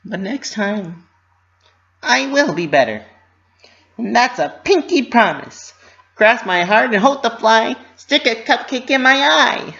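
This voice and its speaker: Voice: animated, takes it slowly, vocal fry, sharp tone, lo-fi sound, exaggerated voice. A narrator voicing a young female cartoon pony, eager to please, bubbly and earnest, in a storybook reading. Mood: determined. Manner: high-pitched, bubbly, earnest.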